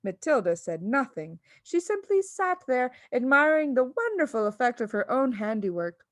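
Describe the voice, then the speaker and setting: A woman reading a children's story aloud as an expressive narrator. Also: high voice, moderate pace, no echo, clean studio sound. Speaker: storybook reader.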